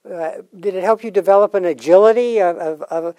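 A man hosting an academic interview, asking questions in a halting way that repeats words. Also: sits high, mellow. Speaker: interview host.

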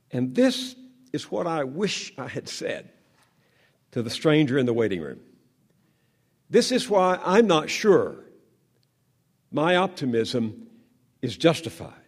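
A man in his seventies from the American South, a journalist giving a measured speech with dramatic pauses that trail off to a low hush. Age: 70s.

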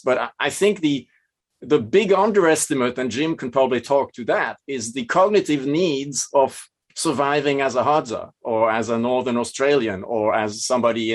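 A man with a Swiss accent moderating an academic panel's questions, halting with many restarts. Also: mid-range voice.

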